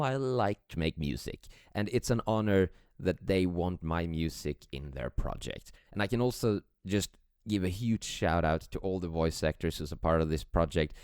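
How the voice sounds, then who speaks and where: A man speaks in a very low, smooth, clear voice, casually addressing listeners as a composer. The recording is close and dry. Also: a little mellow, dry recording. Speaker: music composer. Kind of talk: casual prepared self-introduction and thanks.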